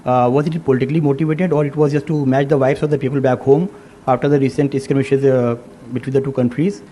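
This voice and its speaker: A man asking a press conference question as a reporter, in a hesitant, halting manner. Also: medium pitch, fairly even intonation, warm tone, slight background noise.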